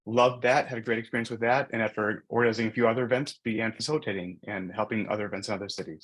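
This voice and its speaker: A man's voice: lowish voice, fairly bright tone, rough recording. A man speaking casually on a video call panel, enthusiastic and loose, with many filler words. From based in San Diego. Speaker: iOS developer and event facilitator. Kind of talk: casual online panel talk.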